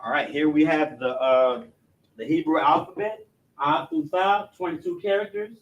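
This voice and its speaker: A man's voice: a little high, big pitch swings, moderate pace, highs cut off. A man giving an informal class, explaining step by step, often stumbling and restarting and checking in with his listeners. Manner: loose and repetitive, stumbles and restarts, keeps asking "you know what I'm saying". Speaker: religious language instructor.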